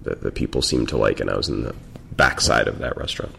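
A man hosting a podcast interview, asking questions in a relaxed, conversational way. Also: very deep voice, quick pace, gravelly, very noisy. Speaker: podcast host. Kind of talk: casual interview.

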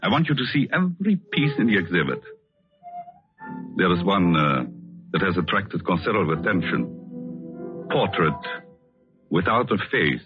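A man acting a tender, wistful painter in love in a 1940s-style radio drama, speaking softly and hesitantly. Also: low-pitched, very animated, moderate pace, long pauses. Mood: warm, modest. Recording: dull old radio recording, music behind.

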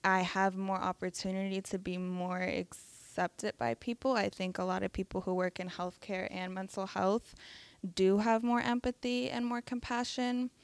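A woman's voice: medium pitch, flat delivery, normal speed, clear, resonant tone, no echo. A young woman who stutters, sharing her experience at a live talk with frequent fillers and hesitations. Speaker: audience member sharing her story.

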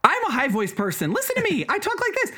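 Animated, bright-voiced woman chatting politics. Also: high-pitched, quick pace. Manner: animated, conversational. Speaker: podcast co-host. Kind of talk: casual chat, political commentary.

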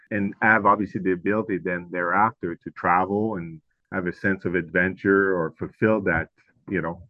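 A man's voice: fairly low pitch, no room sound, lo-fi sound. A middle-aged man from Quebec with a Quebec French accent, a French native speaker who searches for English words and uses many fillers as a guest in a casual interview. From Quebec, Canada.

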